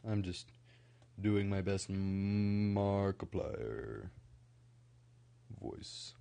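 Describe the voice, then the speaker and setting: A young man with a somewhat low voice, a little scratchy from being sick, rambles through a late-night stream. He talks quietly, stacking "like" and restarting sentences as his thoughts wander. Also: sing-song, extremely slow, creaky, clear, resonant tone. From Idaho, USA. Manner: rambling, many "like" fillers and restarts; speaking quieter than usual. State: slightly scratchy from recent illness. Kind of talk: casual late-night livestream chat.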